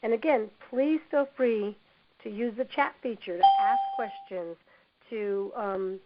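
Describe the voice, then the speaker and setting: Hoarse lady with a cold teaching a webinar, kinda high voice, muffled computer mic. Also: a little slow, heavy background noise. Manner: encouraging, repeats "you know". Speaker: webinar presenter. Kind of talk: corporate training webinar. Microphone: computer mic on a webinar call. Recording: dull lo-fi web audio.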